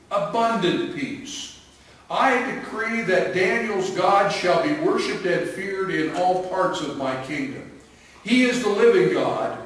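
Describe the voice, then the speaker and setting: A man's voice: on the high side, heavy reverb, recorded from a distance, poor audio. A man voicing an anxious ancient king.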